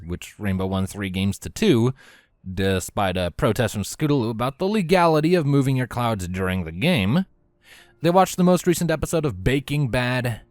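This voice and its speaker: A man's voice: medium pitch, no echo, clean studio sound. A man reading scripted story narration as the narrator of an audio storybook.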